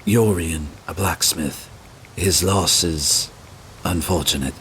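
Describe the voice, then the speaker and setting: An actor playing a loyal, measured royal guard captain in a fantasy audio drama. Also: male voice, low voice, a bit bright, slight background noise. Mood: formal.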